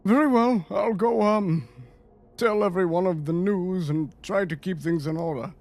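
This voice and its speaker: A man's voice: sits high, normal speed, a little mellow, pitched above the performer's own voice. A man voicing a nervous, deferential guard in a fantasy tabletop game, stammering and hesitant. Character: nervous, deferential male town guard underling.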